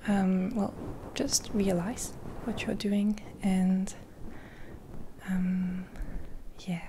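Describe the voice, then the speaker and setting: A woman speaking in a gentle, half-whispered ASMR roleplay, rambling softly and reassuringly with pauses, close to the mic. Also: mid-range voice, little pitch movement, background noise. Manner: caring, reassuring. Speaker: ASMR creator. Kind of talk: soft-spoken ASMR roleplay.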